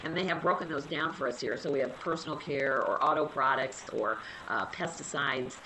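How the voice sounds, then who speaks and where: Low-voiced nasal woman with a cold. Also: moderate pace, pushed and bright, slight background noise, low-quality recording. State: has a cold, slightly nasal. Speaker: health library liaison presenting a webinar. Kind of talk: casual webinar presentation.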